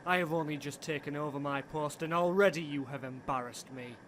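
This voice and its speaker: A man's voice: a little high, fairly quick, a little hiss or hum, lightly acted. A man playing a cold, sardonic commander in his late twenties, curt and direct, in an audio drama. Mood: scornful. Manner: calm, direct, curt, disdainful. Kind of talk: radio-play acting.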